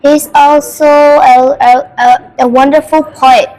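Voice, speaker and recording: Bangladeshi girl, young, high pitched, earnest, stumbles and corrects herself. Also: flat delivery, slow, darkish tone, poor audio. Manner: earnest, with restarts and self-corrections. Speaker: schoolgirl interviewee. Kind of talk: interview answers.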